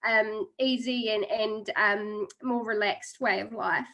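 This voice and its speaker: A woman's voice: mid-range voice, fairly even intonation, takes it slowly, sharp tone. A woman in her thirties with a New Zealand accent, giving a friendly, chatty presentation on a video call with many fillers. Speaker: guest speaker, international marketing officer. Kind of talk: webinar presentation.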